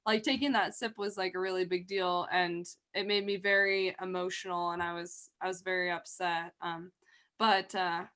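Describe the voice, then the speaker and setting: A young woman hosting a casual livestream, chatty and giggly with a naturally loud voice and lots of fillers. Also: on the low side, level tone, moderate pace. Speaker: livestream host.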